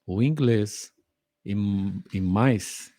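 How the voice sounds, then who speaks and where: A man, co-hosting the podcast and telling an anecdote in a relaxed, conversational way. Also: lowish voice, very slow, dry recording. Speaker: podcast co-host. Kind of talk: conversational storytelling.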